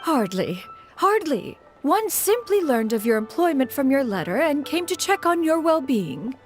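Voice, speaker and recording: An actress playing a proud immortal master in a video game, speaking in formal, archaic phrasing. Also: female voice, sits high, very animated, moderate pace, soft background music. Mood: flustered. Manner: formal, archaic, calls herself "one". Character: proud, haughty immortal female master in human form.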